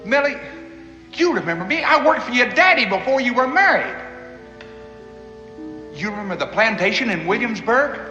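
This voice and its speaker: A man's voice: extremely high pitch, sing-song, moderate pace, pauses often, sharp tone, dull audio, soft background music, exaggerated voice. An actor playing an earnest frontiersman who pleads and then turns angry. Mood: pleading. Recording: echoey old TV soundtrack with score.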